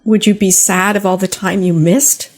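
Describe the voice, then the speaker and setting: A woman's voice: mid-range voice, fairly even intonation, moderate pace, faint music behind. An older woman hosting a podcast, telling personal stories in a casual, conversational way straight to her listeners. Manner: conversational, talks directly to listeners. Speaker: podcast host.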